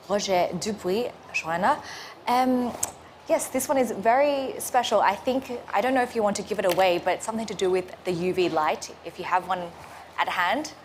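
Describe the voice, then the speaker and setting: A woman hosts a morning show and asks friendly, leading questions in a voice of middle pitch. Faint background noise sits under her voice. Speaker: show host. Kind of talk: interviewing on a live show.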